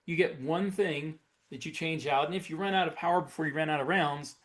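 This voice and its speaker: Higher-pitched man chatting on a podcast. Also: fairly quick. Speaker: podcast co-host. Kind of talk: casual conversation.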